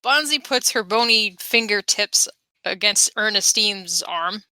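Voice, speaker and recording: Bright voiced woman, tabletop player, wordy and animated, casual chat, dry sound. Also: medium pitch, unhurried, dry recording. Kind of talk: casual game chat and character narration.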